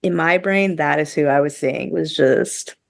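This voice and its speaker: Lady podcaster w low voice, dry close sound, chatty, says like a ton, lots of opinions. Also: unhurried, vocal fry, dry recording. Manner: chatty, heavy use of "like", opinionated. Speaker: podcast host. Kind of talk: casual review chat among co-hosts.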